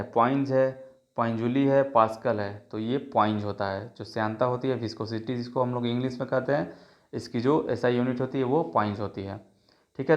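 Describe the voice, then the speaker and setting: A man with a sore throat runs through multiple choice exam questions, reading each option aloud before settling on the answer. His voice sits in a middle range. The recording is muffled and lo-fi. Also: mid-range voice. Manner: reads options then gives the answer, many filler checks. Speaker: teacher. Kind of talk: quiz-style exam prep lesson.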